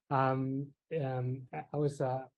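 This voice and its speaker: A man's voice: medium pitch, level tone, slow pace, narrow, tinny audio. A middle-aged man from Hong Kong giving a psychiatry lecture, telling personal stories in a conversational way with many fillers and light self-deprecating laughs. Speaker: psychiatry professor. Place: lecture hall.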